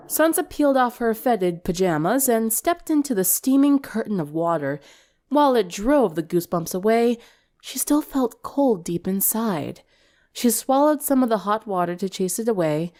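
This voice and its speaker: A woman reading a scripted story aloud as its narrator, in an expressive storytelling style. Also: mid-range voice.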